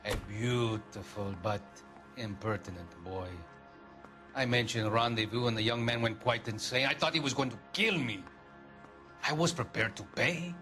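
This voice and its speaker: An actor playing a haughty, indignant Argentine consul general in a video game cutscene, speaking formally. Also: male voice, mid-range voice, moderate pace, music in the background, lightly acted. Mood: uneasy confession.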